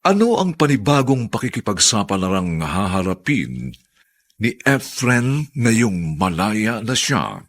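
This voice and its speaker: A man's voice: fairly low pitch, very animated, takes it slowly. A man with a Filipino accent announcing a radio drama in dramatic scripted narration.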